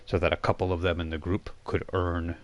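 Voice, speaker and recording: A man with a low-pitched voice acts as game master for a tabletop game. He narrates casually and off the cuff, often halting to rephrase and explain details. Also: flat delivery. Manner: halting, explanatory, self-correcting.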